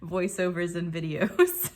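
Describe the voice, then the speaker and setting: A woman with a slightly croaky voice, chatting casually and thinking aloud while she works on a scrapbook page. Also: lowish voice, big pitch swings, takes it slowly. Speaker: scrapbooking creator. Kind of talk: casual crafting commentary.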